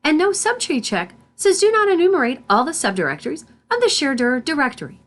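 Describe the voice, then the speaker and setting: A woman instructor reading scripted tutorial narration in a deliberate, step-by-step manner. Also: high-pitched, very animated. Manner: deliberate, spells out terms symbol by symbol.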